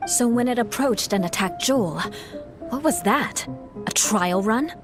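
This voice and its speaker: A woman's voice: a little high, animated, unhurried. An actress playing a composed, refined and shrewd woman in a fantasy video game, speaking formally. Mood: probing, measured. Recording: loud background music under the voice.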